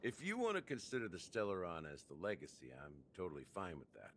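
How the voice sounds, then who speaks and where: A man's voice: low voice, huge pitch swings, quick pace, a little hiss or hum, full character voice. A man playing a shrewd, self-deprecating, coolly confident rogue in a video game, talking in a wry, teasing way. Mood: cool, pressing. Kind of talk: scripted video game voice acting.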